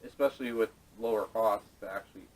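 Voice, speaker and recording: A man hosting a casual podcast discussion, speaking haltingly with repeated words, picked up by a distant camera microphone. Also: lowish voice. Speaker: podcast host. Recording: distant, salvaged camera audio.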